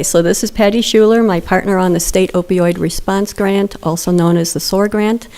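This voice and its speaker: A woman presenting at a town council meeting, quiet and soft-spoken at a podium microphone. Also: on the low side. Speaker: grant program presenter.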